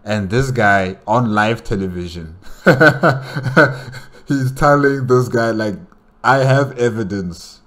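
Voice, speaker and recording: A man's voice: lowish voice, slow, fairly bright tone. A man hosting a reaction video, giving casual, conversational commentary and repeating himself for emphasis.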